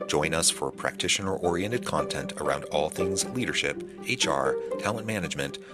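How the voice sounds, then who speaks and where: A very low-voiced man delivers a smooth, scripted podcast intro in an even promotional tone. A loud music bed plays under his voice throughout the read. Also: normal speed.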